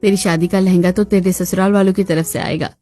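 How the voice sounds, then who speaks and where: A woman acting the dramatic, expressive daughter and chatty female relatives of a cartoon family story. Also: medium pitch, brisk, lightly acted. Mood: anxious, dismayed. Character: young unmarried daughter and her chatty women relatives, expressive. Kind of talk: Hindi cartoon story dialogue. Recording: music bed behind the voice.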